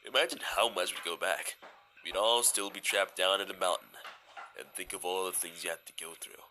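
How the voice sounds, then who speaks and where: A man in a fan audio drama playing a gentle, weary, laid-back male skeleton, speaking in a hesitant, casual, understated way. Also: low-pitched, fairly even intonation, fairly quick, a little hiss or hum, rough recording. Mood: gentle, uneasy.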